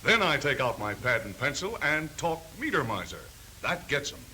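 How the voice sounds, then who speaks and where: A sure-of-himself 30s salesman, bright animated voice, emphatic slang, bit of old film noise. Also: mid-range voice, normal speed, full character voice. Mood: self-assured. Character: brisk, self-assured refrigerator salesman swapping sales tricks. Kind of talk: 1930s training film acting. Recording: hissy, noisy 1930s film soundtrack.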